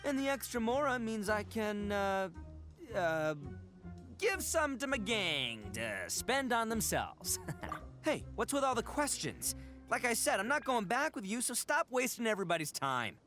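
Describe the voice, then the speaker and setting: A man's voice: high-pitched, sing-song, unhurried, full character voice. A rowdy, swaggering oni gang leader in a video game, his voice swooping wildly up and down as he blusters and laughs. He trips over his words when cornered but bounces right back. Faint game music plays behind him. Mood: boastful. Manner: loud, blustering, laughs a lot, stammers when caught out. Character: brash, boisterous male oni gang boss, big-hearted. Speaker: game character.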